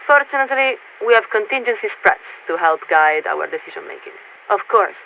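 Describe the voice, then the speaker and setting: A woman playing a polite, formal military radio signaler in a video game, speaking in a measured, patient, officious way through a radio filter. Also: medium pitch. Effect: radio transmission filter. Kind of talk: scripted game voice acting. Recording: tinny narrow-band radio with static.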